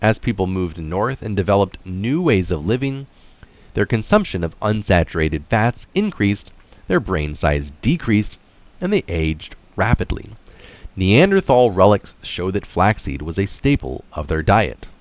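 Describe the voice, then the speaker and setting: A man's voice: sits low, big pitch swings, unhurried, no room sound. A man reading an article aloud in narration style, dropping in casual, opinionated asides of his own. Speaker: reader of an article adding his own comments.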